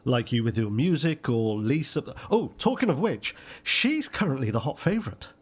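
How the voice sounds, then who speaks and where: A man's voice: mid-range voice, big pitch swings, normal speed, slightly rough. A man playing a roguish, wry older grandfather who takes bets, in a radio soap. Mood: wry, genial.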